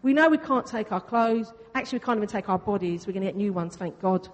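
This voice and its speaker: A woman's voice: mid-range voice, quick pace, slight background noise. A woman whose first language is Greek, preaching a sermon in a church in a chatty way, repeating phrases for emphasis. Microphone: venue sound system. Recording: reverberant church hall.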